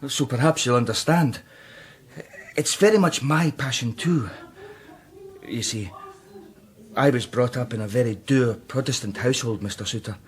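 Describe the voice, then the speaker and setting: An actor playing a brooding, guilt-ridden Scottish composer, intense and defensive, in a radio drama. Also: male voice, mid-range voice, normal speed, long pauses, close to an everyday voice. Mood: anguished, fervent.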